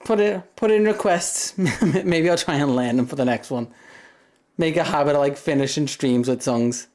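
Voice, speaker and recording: Young man, deep voice, casual stream chat, rambling, frequent laughs. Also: moderate pace, a little mellow. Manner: rambling, laughs often between thoughts. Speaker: livestreamer.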